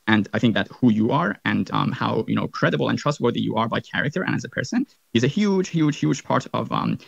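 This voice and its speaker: A man's voice: medium pitch, expressive, a little fast, gravelly, husky, no room sound, dull audio. A man hosting a casual interview, rambling with many fillers and restarts. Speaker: host, physician.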